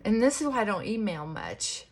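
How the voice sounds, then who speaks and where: A woman vlogger talking in casual, rambling chit-chat, reflective and halting, often trailing off and circling back. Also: medium pitch, very animated.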